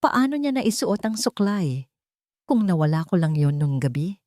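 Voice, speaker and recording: A resolute young Filipino mother from an audio romance drama, acted out by a woman narrator. She speaks firmly and dramatically in a slightly low voice. The sound is very dry. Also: very animated, normal speed, mellow, no echo. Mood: troubled.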